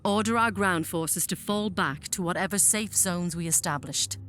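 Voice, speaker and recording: A woman's voice: fairly low pitch, normal speed, a bit bright, a little hiss or hum, close to an everyday voice. A woman playing a cold, impatient military general in an audio drama, clipped, disdainful and commanding.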